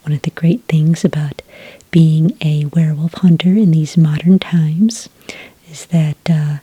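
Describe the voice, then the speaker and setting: A woman doing a soft-spoken ASMR roleplay as a polite, patient clerk, whispering gently close to the microphone. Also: deep voice, fairly even intonation, no room sound. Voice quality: whispery, breathy. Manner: gentle, polite, unhurried customer-service patter. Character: friendly, patient female registration clerk at a hunting agency.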